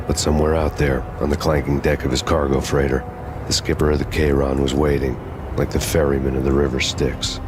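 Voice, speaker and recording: A grim, world-weary ex-cop for a game's noir cutscenes. His voice sits extremely low and barely moves in pitch. He talks deadpan, with dry sarcastic wit. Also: a little fast, background noise. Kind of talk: noir game narration and dialogue. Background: music.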